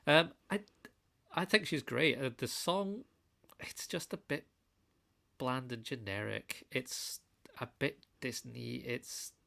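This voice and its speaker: A man on a fan panel, blunt and halting in his opinions. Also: medium pitch, creaky, sharp tone. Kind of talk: casual panel chat.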